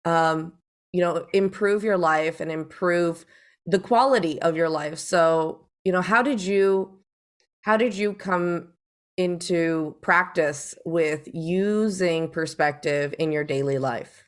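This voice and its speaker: A woman hosting a podcast interview, asking questions in a hesitant, halting way. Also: fairly low pitch. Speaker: podcast host.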